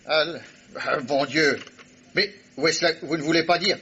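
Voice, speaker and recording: An actor in an old radio drama playing a skeptical police inspector who is gruff and exclamatory. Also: male voice, on the high side, measured pace, bright, forceful tone, slight background noise. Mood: incredulous. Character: skeptical, slow-witted male police inspector. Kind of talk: 1960s French radio drama acting. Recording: dull old radio broadcast recording.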